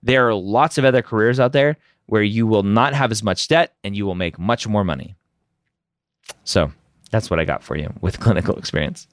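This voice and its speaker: A man's voice: lowish voice, normal speed, no echo, studio quality. Candid man answering listener questions. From United States. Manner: candid and opinionated, rhetorical "right?" asides. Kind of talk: casual advice Q&A.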